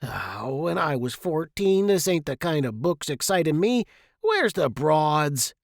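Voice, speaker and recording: A man's voice: on the high side, big pitch swings, slow pace, vocal fry, no room sound, voice pushed up, exaggerated voice. A crude, bullying working-class father, acted out by a male narrator for an audiobook. He is coarse and blustering, with a short temper and slangy speech. The sound is close and dry.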